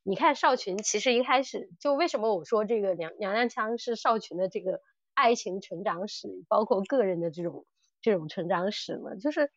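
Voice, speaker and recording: A woman's voice: medium pitch, animated, brisk, no room sound. Woman podcaster, slightly muffled and dry. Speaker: podcast co-host. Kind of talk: casual discussion of audio dramas.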